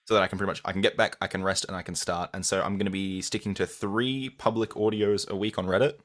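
Young Australian man, lowish voice, thoughtful and measured, restarts often; interview guest. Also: fairly even intonation, fairly bright tone. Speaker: guest, audio content creator. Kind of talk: casual interview answers.

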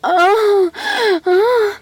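Woman doing a captive fairytale princess, really high breathy voice, slow, tearful n pleading, close dry sound. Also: no room sound, soft background music, full character voice. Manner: breathy, tearful, gasping, often whispering. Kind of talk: intimate audio roleplay acting.

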